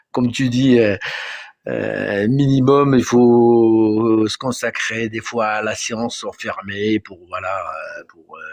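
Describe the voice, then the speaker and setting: Homme marocain, voix grave et sèche. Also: male voice, lowish voice, no echo. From Morocco. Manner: hesitant, many fillers, deferential. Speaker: student. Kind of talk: casual chat.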